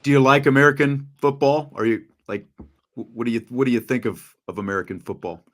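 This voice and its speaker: A man's voice: medium pitch, fairly even intonation, a little fast. A man, a radio announcer, conducting a friendly conversational interview and often restarting his questions.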